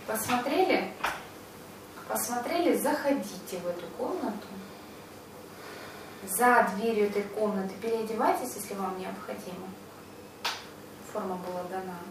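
A woman leading a guided visualization in unscripted speech, halting and rewording as she searches for words, recorded echoey and distant. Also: medium pitch. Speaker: guide of a group visualization session. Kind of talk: unscripted guided meditation with casual discussion. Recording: very echoey, distant mic, clear background noise.

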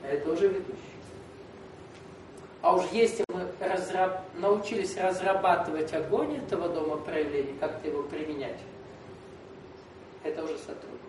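A man's voice: on the high side, normal speed, long pauses. A man lecturing to a gathered group as a spiritual teacher, explaining and asking rhetorical questions. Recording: echoey hall, recorded from a distance with faint noise.

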